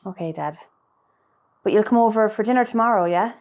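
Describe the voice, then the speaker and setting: An actress playing a weary, patient grown-up Irish daughter in a radio play, with a polite, gentle manner. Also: female voice, medium pitch, fairly quick, natural voice. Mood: caring, weary.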